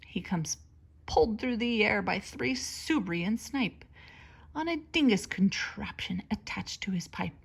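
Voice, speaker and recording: Husky-edged woman reading a storybook dramatically. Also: mid-range voice, expressive, a little slow. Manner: dramatic, theatrical emphasis on words. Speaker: teacher reading aloud. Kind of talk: expressive children's storybook read-aloud.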